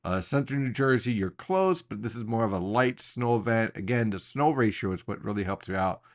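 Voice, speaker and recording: A man with a fairly low voice talks through a weather forecast. He explains it in an informal briefing style, putting emphatic stress on the key points. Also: animated, a little fast.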